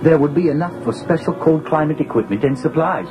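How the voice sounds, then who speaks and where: A man's voice: a little high, fairly quick, close to an everyday voice. An actor playing a prim, deferential male protocol droid with formal, pedantic speech in a 1980s audio drama. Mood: fussy, polite. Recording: music behind, radio drama production.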